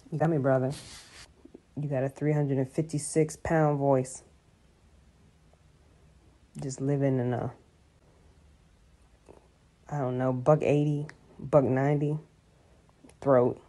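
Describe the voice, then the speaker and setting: Low, mellow young woman. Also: takes it slowly, long pauses. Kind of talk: casual comedic reaction to camera. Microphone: phone at arm's length.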